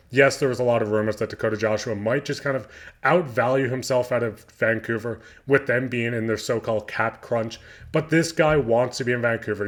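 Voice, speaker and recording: Hoarse man talking hockey news. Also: medium pitch. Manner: eager fan, talking through quotes in a loose unscripted way. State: hoarse, losing his voice. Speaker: hockey news channel host. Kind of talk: sports news commentary.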